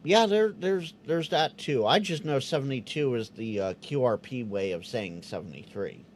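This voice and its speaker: A man hosting a live stream, chatting loosely with his viewers and sounding out Morse code as he goes. His pitch jumps up and down, and he restarts his words often. A faint hum of room ambience sits behind him. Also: expressive, moderate pace. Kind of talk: casual live-stream chat reading viewer comments.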